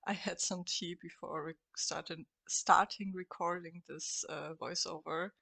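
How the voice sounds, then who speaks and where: A woman's voice: fairly low pitch, steady tone, a little slow, vocal fry, pushed and bright, no echo. A woman with a cold and a scratchy voice, hosting a casual game-building voice-over, hesitant and full of fillers. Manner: hesitant, many fillers, chatty and upbeat. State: has a cold. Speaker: game builder and channel host.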